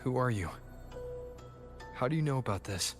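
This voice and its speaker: A man's voice: mid-range voice, lively intonation, moderate pace, natural voice. A man playing a resolute, self-sacrificing fighter in a video game, with a serious, restrained delivery. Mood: wary. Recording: game music behind the voice.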